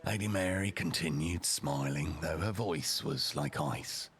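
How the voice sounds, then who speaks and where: A man with a gravelly voice telling a story theatrically and a little haltingly, as a rough-and-ready character. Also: rumbling low, a bit bright. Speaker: storyteller, roleplay streamer in character.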